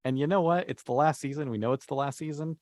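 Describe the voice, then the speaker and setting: A man's voice: on the high side, fast, darkish tone, no room sound, studio quality. A man talking informally and playfully as a panelist in a casual podcast discussion.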